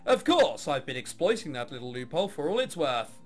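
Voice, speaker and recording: A man's voice: a little high, big pitch swings, a little fast, faint music behind, pitched below the performer's own voice. A man voicing a jaded young woman, a reluctant orchestra heiress in a visual novel, with sarcastic, bored delivery. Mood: cynical, uninterested.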